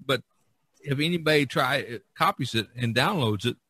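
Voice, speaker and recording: A man's voice: mid-range voice, lively intonation, normal speed, sharp tone, breathy edge, dry recording. A man hosting a podcast, chatting casually and giving advice in an emphatic, self-deprecating way, often repeating himself. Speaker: podcast host and artist.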